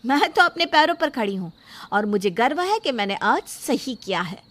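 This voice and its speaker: A woman's voice: a little high, sing-song, moderate pace, heavy background noise. A woman playing an outspoken, self-respecting young bride-to-be in a story drama, speaking firmly and indignantly. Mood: defiant, proud. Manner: firm, indignant, emphatic. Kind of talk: dramatised Hindi moral story acting.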